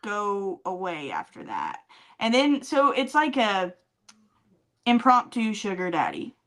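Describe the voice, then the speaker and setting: A woman's voice: mid-range voice, big pitch swings, slow, a bit bright. A woman podcast co-host chatting casually and telling comic stories with teasing, dry sarcasm. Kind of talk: casual comedic chat and storytelling.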